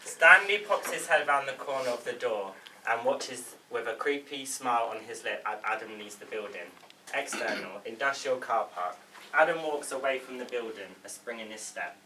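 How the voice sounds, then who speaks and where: A man's voice: medium pitch, normal speed, sharp tone. A man reading stage directions as scripted narration at a table read. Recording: echoey room, distant mic, faint noise.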